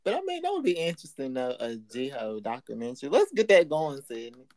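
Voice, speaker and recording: A man's voice: mid-range voice, huge pitch swings, brisk, darkish tone, no room sound, clean studio sound. A man hosting a podcast in casual chat, laughing often and teasing his co-hosts. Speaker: podcast host.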